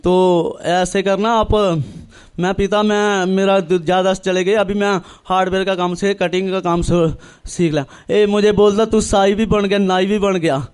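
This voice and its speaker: A young man from northern India tells his religious testimony earnestly, in halting, repetitive phrases, acting out remembered dialogue. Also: high-pitched, steady tone, clean tone. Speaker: church member giving testimony. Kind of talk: personal religious testimony, storytelling.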